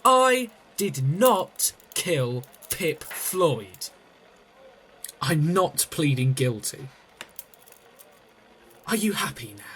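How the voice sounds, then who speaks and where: Actor as defiant male detective on trial, raised pitch, deliberate slow delivery, radio play. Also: sing-song, a bit bright, slight background noise, full character voice. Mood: exasperated, defiant. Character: accused detective, defiant, insisting on his innocence. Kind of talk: audio drama acting.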